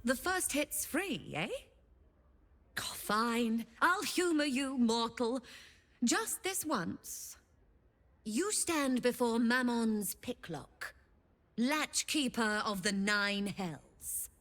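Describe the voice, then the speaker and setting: A woman's voice: a little high, animated, drawn-out words, fairly bright tone, exaggerated voice. A woman playing a sly, greedy female devil who trades infernal bargains in a video game, theatrical, teasing and mock-courteous. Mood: condescending, boastful. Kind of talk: video game character acting.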